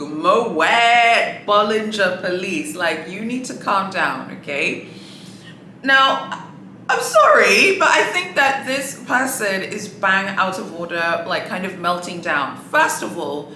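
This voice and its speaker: A woman whose first language is Swahili gives sassy, exasperated commentary, with a bright, forceful edge to her voice. She talks to the camera in an echoey room with a faint hiss of noise behind her. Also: lowish voice. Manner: sassy, exasperated, animated, frequent likes and okays. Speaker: luxury shopping vlogger. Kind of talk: casual commentary.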